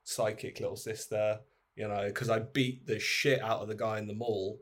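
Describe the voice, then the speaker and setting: A man's voice: lowish voice, flat delivery, moderate pace. A man in an informal, rambling, sweary chat about films, acting out imagined lines as he goes. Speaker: podcast host. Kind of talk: casual film chat.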